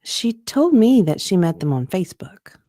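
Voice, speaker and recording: This is a woman reading witness testimony as a guest on a show. Her pitch moves around a lot, and she is casual, a little hesitant and quick to giggle. She sounds close and dry, with almost no room. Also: very animated, mellow, no room sound. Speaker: guest co-reader. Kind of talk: reading a deposition witness's part, with casual banter.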